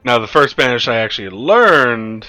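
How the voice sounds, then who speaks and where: A man's voice: mid-range voice, sing-song, normal speed, pushed and bright, dry recording, soft background music. A man playing in an online tabletop game, chatting casually and joking crudely with free swearing.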